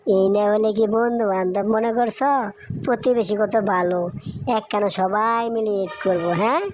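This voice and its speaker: A woman's voice: medium pitch, steady tone, normal speed, darkish tone, faint music behind, lightly acted. A woman voicing a cheerful, sociable village wife in a cartoon, chatty and homely, with a Bangladeshi Bengali accent. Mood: happy, chatty. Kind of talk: amateur cartoon dialogue.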